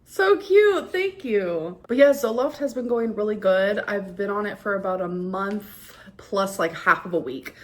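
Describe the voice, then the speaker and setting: A woman's voice: medium pitch, lively intonation, unhurried, hardly any pauses. A woman vlogger who talks casually and gushingly as she answers fan questions. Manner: gushing, lots of "like" fillers. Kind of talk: casual vlog talk.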